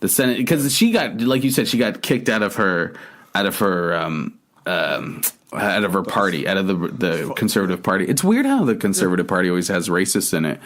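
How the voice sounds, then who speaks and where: A man with a fairly low voice co-hosts a loose podcast. He rambles, restarts his sentences and breaks into profane mockery while reading news aloud. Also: very animated, fairly quick, a bit bright. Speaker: podcast co-host. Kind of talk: casual podcast chat.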